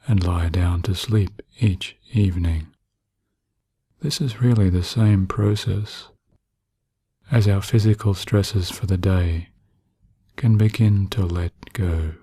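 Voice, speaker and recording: A man narrating guided sleep hypnosis in a soothing, hushed manner with long pauses. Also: sits low, breathy edge, dry recording.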